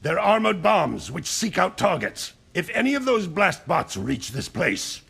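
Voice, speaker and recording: An actor playing a cranky, bossy old rebel elder in a video game, with a gravelly, raspy voice. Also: male voice, high-pitched, big pitch swings, a little slow, a bit bright, full character voice. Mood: grave, irritated. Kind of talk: video game cartoon acting.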